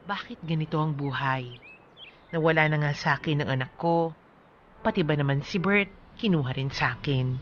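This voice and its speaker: Despairing bereaved woman, slow monologue. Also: low-pitched, muffled recording, slight background noise. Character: bereaved woman telling her sorrowful story in a letter. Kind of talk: radio drama monologue.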